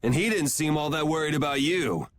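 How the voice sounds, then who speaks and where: A man's voice: fairly high pitch, lively intonation, a little fast, a little hiss or hum, natural voice. An actor playing a blunt, sarcastic young swordsman in a game cutscene. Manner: dry, sarcastic, laid-back. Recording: game audio with music behind.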